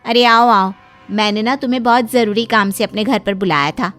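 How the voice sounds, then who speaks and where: A woman's voice: mid-range voice, moderate pace, fairly bright tone, faint music behind, lightly acted. Woman playing an earnest, persuasive female friend. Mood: welcoming. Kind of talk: cartoon moral story dialogue.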